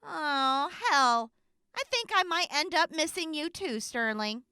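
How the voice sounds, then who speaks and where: High slow sardonic woman's wasteland crew voice. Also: animated, sharp tone, no echo, heavily acted. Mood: grudging fondness. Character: dry-witted female wasteland crew member, grudgingly fond. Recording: clear background ambience noise.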